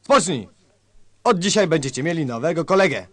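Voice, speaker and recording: Guy doing a bossy army drill officer in a comedy radio play, high voice, clipped orders, no room sound. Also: expressive, fairly quick, fairly bright tone, no room sound. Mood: commanding. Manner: barking, clipped commands. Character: brusque male military drill officer giving commands. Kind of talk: satirical radio-play acting.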